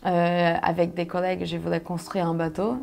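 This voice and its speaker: A young woman from Brazil, a native Portuguese speaker with a Brazilian accent, telling her story conversationally and haltingly as she searches for words. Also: lowish voice, completely flat delivery, creaky, darkish tone. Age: young adult. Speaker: interview guest, solo sailor.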